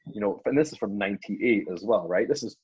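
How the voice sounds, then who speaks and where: A man's voice: lowish voice, lively intonation, fairly quick, darkish tone, breathy edge, dry recording. A young man, a podcast guest talking earnestly over a lo-fi call, often repeating words as he thinks. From Caribbean. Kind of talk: casual podcast discussion.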